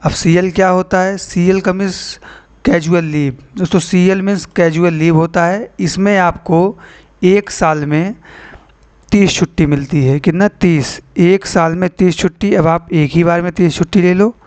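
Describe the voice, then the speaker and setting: A man's voice: high-pitched, steady tone, normal speed, a little mellow, clean tone. A man hosting an informal explainer for students, addressing viewers as friends and repeating key points. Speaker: video channel host. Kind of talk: informal explainer for exam aspirants.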